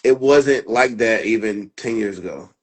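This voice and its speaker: Guest: a man with a lowish voice, easygoing and chatty, leans on "you know what I'm saying.". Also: a little slow, slightly rough. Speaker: MMA fighter and guest. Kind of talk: casual interview chat.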